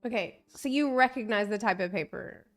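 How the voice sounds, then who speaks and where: A woman's voice: medium pitch, brisk, a bit bright, faint music behind. Playful woman streaming a game. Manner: musing, playful. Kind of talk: casual live game commentary.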